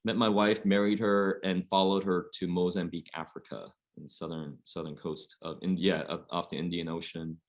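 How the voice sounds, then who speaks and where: A man in his forties from Oregon with a low, deep voice tells a long, winding story on a coaches' webinar panel. He rambles on with steady fillers between his points. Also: moderate pace, hardly any pauses. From Oregon, USA. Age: 40s. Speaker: high school basketball coach.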